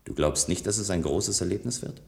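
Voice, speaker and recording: An actor playing a restless, playful man of a future city in a German radio play, with a measured, musing delivery. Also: low-pitched, gravelly, a little mellow.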